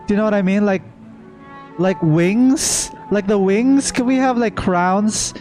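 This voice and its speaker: A man's voice: sits high, a little slow, darkish tone. A man doing casual live gameplay reaction commentary as a streamer, playful and joking. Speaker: game streamer. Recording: faint game music behind.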